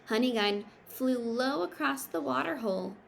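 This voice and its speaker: A woman's voice: sits high, animated, moderate pace, vocal fry, slight background noise. A woman reading a picture book aloud like a teacher, expressively, with simple explanatory asides for children.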